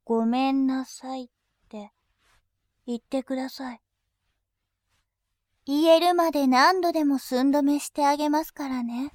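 Woman voicing a high, slow-spoken haughty bank clerk. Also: dry recording, studio quality. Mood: polite teasing. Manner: very formal polite speech, slow and deliberate. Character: composed female bank clerk, dominating and scornful. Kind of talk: adult audio drama acting.

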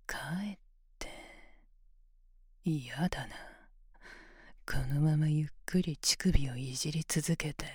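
A domineering, scornful female examiner, played by a woman, talking close to the ear in a low, husky whisper. She takes her time, drawing out every taunt. The sound is dry, with no room around it. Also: dark tone, no echo, exaggerated voice. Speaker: voice actor in an audio roleplay. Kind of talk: whispered ASMR roleplay.

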